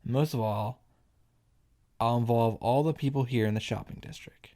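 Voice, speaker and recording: A man's voice: medium pitch, normal speed, mellow. A man reading a visual novel aloud and reacting casually, often restarting and repeating himself as he goes.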